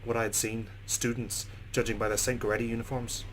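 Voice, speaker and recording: A man's voice: medium pitch, flat delivery, fairly quick, a little hiss or hum, poor audio. A man hosting a let's play, reading visual novel narration dramatically and reacting with exclamations.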